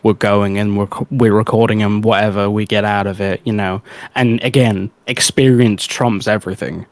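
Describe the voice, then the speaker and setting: A man with a fairly low voice talks in a very dry, close recording. He offers critique in a casual livestream discussion, explaining himself carefully and with some hedging. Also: flat delivery, normal speed, no room sound. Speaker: guest giving critique on an audio production.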